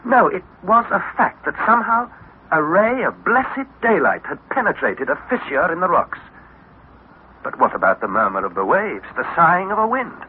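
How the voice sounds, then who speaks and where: An actor playing an eager young English nephew, narrating and speaking dramatically in the style of 1960s radio adventure. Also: male voice, high voice, moderate pace. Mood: wondering. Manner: dramatic, articulate, excitable. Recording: tinny, noisy vintage radio recording.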